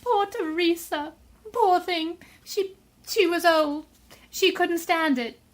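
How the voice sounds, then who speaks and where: Woman doing a fragile upset society lady, radio play, really high and slow, halting. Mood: grieving, tearful. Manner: halting, emotional. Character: fragile, distraught society matron. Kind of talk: radio mystery drama acting.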